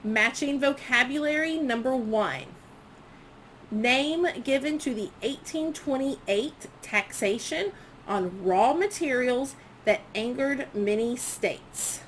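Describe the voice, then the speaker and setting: A woman's voice: high-pitched, lively intonation, slow, slight background noise, poor audio. A woman history teacher reading quiz questions aloud to students, enunciating each prompt item by item in an instructional way.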